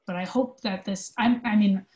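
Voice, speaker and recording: A woman's voice: mid-range voice, moderate pace, a little mellow. A woman in her twenties giving earnest, reflective, discursive answers as an interview guest who works in theater.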